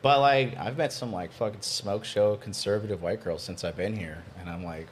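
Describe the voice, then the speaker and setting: A man's voice: fairly low pitch, moderate pace, a little hiss or hum. A man in a casual podcast chat, laid-back and blunt, who swears easily.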